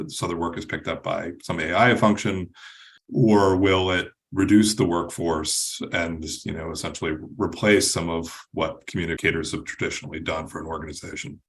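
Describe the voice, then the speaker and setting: Deep-voiced guy hosting a podcast, laid back, lots of "you know". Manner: casual, hedging with many "you know" fillers. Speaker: podcast host. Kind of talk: interview question.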